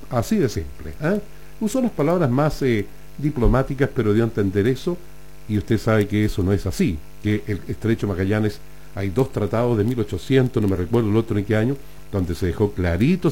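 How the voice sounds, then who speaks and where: A man with a Chilean accent hosting a radio show, talking casually through the news and often adding quick tag questions. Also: mid-range voice, dark tone, husky. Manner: chatty, keeps adding tag questions like "ah?" and "no es cierto?". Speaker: radio host.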